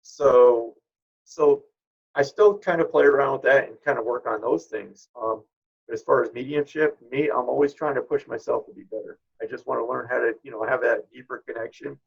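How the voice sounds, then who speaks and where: Gravelly middle-aged man, reflective. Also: medium pitch, moderate pace, highs cut off. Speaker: guest, spirit medium. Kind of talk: informal interview talk.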